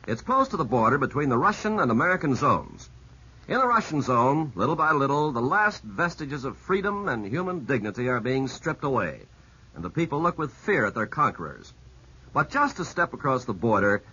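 Forceful, animated male radio announcer. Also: mid-range voice, husky. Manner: earnest, declamatory. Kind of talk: 1950s scripted radio narration. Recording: dull 1950s radio recording.

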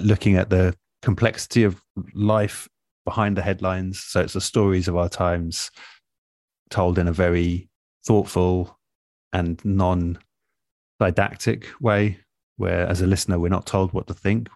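A man speaking as an interviewed radio producer in a relaxed, reflective way, thoughtful and measured, often pausing mid-phrase. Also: deep voice, no echo.

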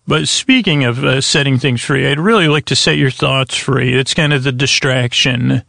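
Creaky-voiced man, meandering and halting. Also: mid-range voice, no echo, clean studio sound. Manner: meandering, halting, stuttering with unfinished thoughts; soothing, lulling, gentle. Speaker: podcast host. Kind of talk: rambling bedtime sleep podcast monologue.

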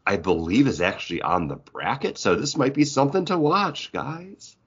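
A man's voice: mid-range voice, very animated, normal speed, a bit bright, husky, lo-fi sound. A man co-hosting a podcast, chatting casually about films with other people. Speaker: podcast co-host.

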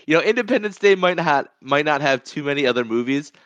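A man's voice: on the high side, lively intonation, fast talker, fairly bright tone, no room sound, lo-fi sound. Man chatting casually, close dry sound. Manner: rambling, repeats phrases. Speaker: podcast co-host. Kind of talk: casual movie podcast chat.

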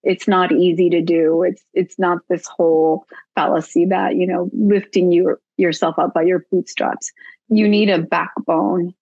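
Woman, lowish, reflective, lots of fillers, dry slightly muffled recording. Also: little pitch movement, unhurried, a little mellow, no room sound. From United States. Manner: reflective, earnest, many fillers. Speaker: guest. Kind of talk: casual interview conversation.

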